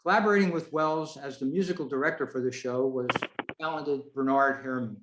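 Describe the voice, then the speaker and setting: A man's voice: medium pitch, fairly quick, background noise. A man presenting a prepared talk to a club over a video call, in a roomy-sounding space.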